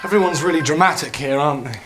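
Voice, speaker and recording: A young man playing an earnest intern with a dry, deadpan manner. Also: fairly high pitch, fast talker, natural voice. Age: young adult. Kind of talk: naturalistic screen comedy-drama acting. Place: small office.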